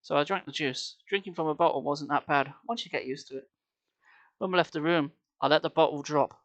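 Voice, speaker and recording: A man's voice: fairly high pitch, fairly quick, fairly bright tone. A man reading a story aloud on a live stream, theatrical and playful, with casual asides.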